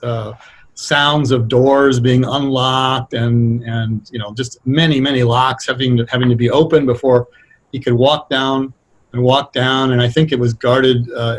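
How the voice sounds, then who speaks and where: A man speaking casually and thoughtfully as a writer being interviewed, explaining things in a halting, anecdotal way. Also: mid-range voice, low-quality recording. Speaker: radio drama writer, interview guest.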